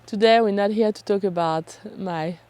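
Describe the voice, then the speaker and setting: A woman, hoarse from a cold, talking casually and chattily to camera as a self-deprecating vlog host. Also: mid-range voice, moderate pace, a little mellow, no room sound. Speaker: photography vlogger and host.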